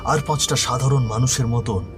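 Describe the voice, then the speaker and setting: A man's voice: mid-range voice, steady tone, normal speed, music in the background. A man narrating a scripted horror story in a solemn, earnest manner.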